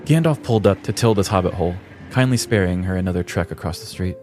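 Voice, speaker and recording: A man with a low voice narrates a scripted comic true-crime tale. His delivery is dramatic, in the manner of a storybook. Faint music plays underneath. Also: fast. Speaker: podcast host.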